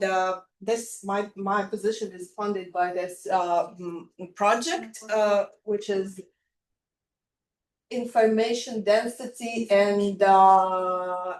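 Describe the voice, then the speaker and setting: A woman's voice: medium pitch, echoey room. A woman researcher giving an academic conference presentation, hesitant and full of "uh" fillers.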